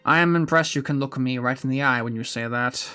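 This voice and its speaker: A man voicing an aged gentleman villain from a game story, gleefully wicked and sentimental, in a pompous theatrical manner. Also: medium pitch, a little fast, clear, resonant tone, soft background music, close to an everyday voice. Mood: musing, theatrical. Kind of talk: dramatic reading of game story dialogue.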